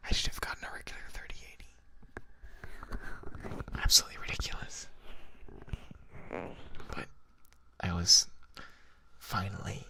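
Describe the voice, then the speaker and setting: A live streamer chatting casually to viewers in a hushed, breathy whisper, unhurried and conversational, in an ASMR style.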